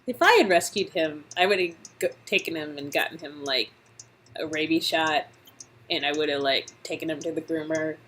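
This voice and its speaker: A woman co-reading a story game, chatting casually in a giggly, animated way. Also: deep voice, moderate pace, slight background noise. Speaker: co-reader in a two-person game read-through. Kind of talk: casual banter.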